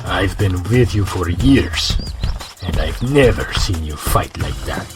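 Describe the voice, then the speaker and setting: A man's voice: low-pitched, expressive, slow pace, music in the background. A man playing an inquisitive, loyal longtime companion of a fighter in an audio drama. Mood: curious, probing.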